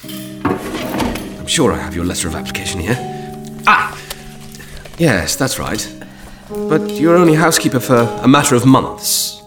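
An actor playing a doubtful employer who probes a housekeeper with condescending questions. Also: male voice, medium pitch, expressive, a little slow, music in the background, close to an everyday voice. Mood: probing. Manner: probing, condescending.

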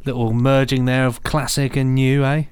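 A man's voice: medium pitch, level tone, moderate pace, darkish tone, clear, resonant tone, no echo. A man co-hosting a podcast in casual chat with a wry manner. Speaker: podcast co-host.

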